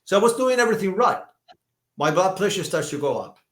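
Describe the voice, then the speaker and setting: A man in his fifties, a doctor being interviewed, telling stories in a lively way, often restarting and repeating words. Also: sits high, quick pace, reverberant. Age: 50s. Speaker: functional medicine doctor, guest. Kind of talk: conversational podcast interview.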